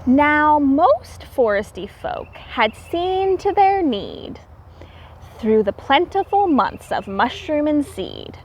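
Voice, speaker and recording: A woman reading a story aloud to young children as a teacher, expressive and explaining things simply. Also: high-pitched, slow pace, a little hiss or hum.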